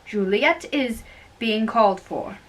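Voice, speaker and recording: Flustered household servant for stage play, read by a woman: high voice, slow halting delivery. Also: expressive. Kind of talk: amateur dramatic reading of a stage play.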